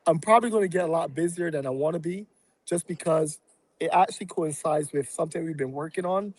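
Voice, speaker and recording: A man from Barbados, a guest on a podcast, talking through his plans in a reflective, halting way with many fillers. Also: fairly high pitch, a little mellow, poor audio. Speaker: real estate investor and guest. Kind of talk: casual podcast interview.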